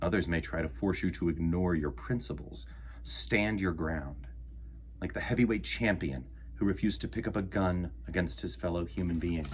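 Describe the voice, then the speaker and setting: A man with a fairly low voice hosts a storytime for children. He presents and reads a picture book aloud in deliberate, measured phrases. A light layer of background noise sits behind his voice. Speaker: storytime host reading aloud.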